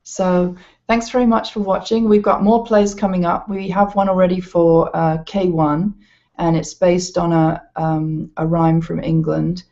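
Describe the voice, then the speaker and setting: A woman's voice: fairly low pitch, level tone, warm tone. A woman giving an informal, hesitant walkthrough as an educational presenter. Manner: hesitant, halting delivery. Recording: slightly dull, lo-fi sound.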